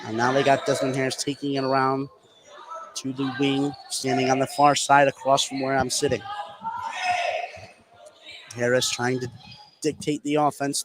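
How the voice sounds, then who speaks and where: A man with a fairly high voice does live basketball play-by-play. His delivery is excitable, lifting for big plays. Clear noise runs behind him at courtside. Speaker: play-by-play announcer. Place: courtside in a gym.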